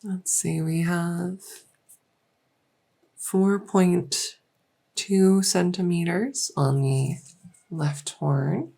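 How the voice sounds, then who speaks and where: Low slow gravelly woman acting as a gentle little bug-folk doctor, soft spoken, reassuring, asmr roleplay. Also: heavily acted. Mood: focused, encouraging. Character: small insect-folk clinician, gentle, encouraging, matter-of-fact woman. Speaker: medical clinician.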